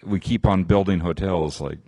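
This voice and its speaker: Low-voiced man speaking on a conference panel. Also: normal speed, no room sound. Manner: relaxed, conversational with frequent restarts. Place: conference venue.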